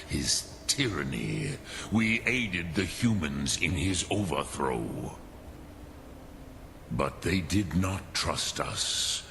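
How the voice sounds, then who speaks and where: A man playing an ancient, wise male dragon in a fantasy video game, speaking in a solemn, measured, contemplative manner. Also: deep voice, creaky, fairly bright tone, slight background noise, full character voice. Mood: grave, regretful. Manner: measured, solemn, philosophical, weighing each phrase. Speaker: mentor, master of an order. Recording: game music behind the voice.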